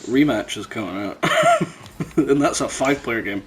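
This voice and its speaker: A man's voice: mid-range voice, huge pitch swings, unhurried, fairly bright tone, a little hiss or hum. A man chatting casually with friends as a podcast co-host, blunt, teasing and sweary. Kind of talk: casual gaming chat with friends.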